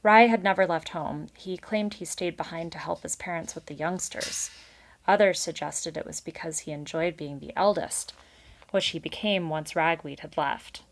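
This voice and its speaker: Female read-aloud storyteller, lower register, narrating children's fiction with casual asides. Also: moderate pace. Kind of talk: expressive children's book narration with casual commentary.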